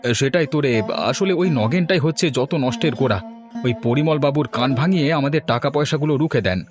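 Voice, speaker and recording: Man voicing a fast-talking weary village labourer. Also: on the high side, soft background music, close to an everyday voice. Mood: frustrated. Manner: fretful, lamenting. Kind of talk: cartoon dialogue.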